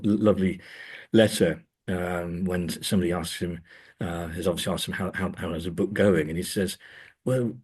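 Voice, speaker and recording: A man's voice: low voice, darkish tone, breathy edge. A man speaking as an academic guest in a discursive interview, hesitant and often repeating words as he thinks.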